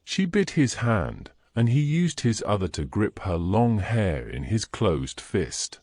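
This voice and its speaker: Slow, low-voiced male storyteller. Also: sing-song, darkish tone, slight background noise. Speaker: narrator. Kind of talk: scripted storytelling narration.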